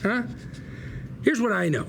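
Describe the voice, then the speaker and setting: An actor playing a fast-talking, folksy defense lawyer with a gravelly voice and a chummy, theatrical way of pitching, in an acted script reading. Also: male voice, lively intonation, background noise, full character voice. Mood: amused, cajoling. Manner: theatrical, chummy, rhetorical questions, drawn-out emphasis. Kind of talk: acted script table read, courtroom speech and TV ad pitch.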